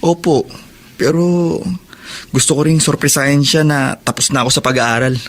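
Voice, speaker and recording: A man's voice: on the high side, moderate pace, close to an everyday voice. A man with a Filipino accent acting as an earnest, respectful young prisoner in a radio drama. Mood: earnest, grateful.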